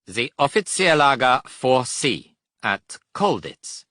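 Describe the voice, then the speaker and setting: A man's voice: mid-range voice, sing-song, takes it slowly, bright, forceful tone, dry recording, clean studio sound, voice pushed up, heavily acted. A man voicing a correct German prison camp commandant with a German accent and a stiff, formal manner. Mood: matter-of-fact.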